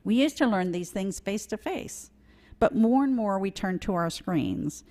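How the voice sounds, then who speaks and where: A woman reading a children's story aloud during a church service, slow and expressive. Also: medium pitch.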